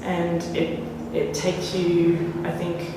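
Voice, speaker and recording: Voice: mid-range voice, monotone, takes it slowly. An audience member asking a rambling question at a public talk, rephrasing as they go. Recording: echoey hall from far off, audible room noise.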